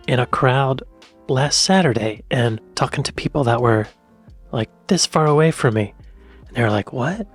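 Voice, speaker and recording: An American man hosting a reaction video in casual commentary, with a soft, quiet voice and a musing manner that trails off and repeats itself. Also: mid-range voice, breathy edge. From United States.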